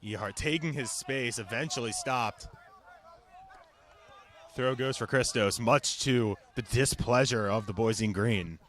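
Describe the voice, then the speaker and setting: A man's voice: medium pitch, unhurried, fairly bright tone. A man calling live soccer play-by-play in clipped, fragmentary phrases. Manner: clipped, fragmentary calls of the action. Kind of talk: live television sports commentary.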